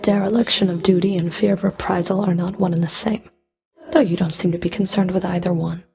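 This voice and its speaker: A woman's voice: lowish voice, fairly even intonation, brisk, creaky, warm tone. A woman playing a stern, scornful underworld fury in a video game, with a cold, sardonic, taunting delivery. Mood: dry, sardonic. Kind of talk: scripted video game character dialogue.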